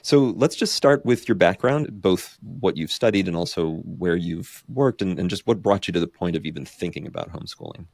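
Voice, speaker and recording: A man's voice: sits low, lively intonation, brisk, vocal fry, hardly any pauses, a little mellow, no echo, clean studio sound. A man hosting an interview podcast, asking curious, encouraging questions. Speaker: podcast host. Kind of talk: casual interview.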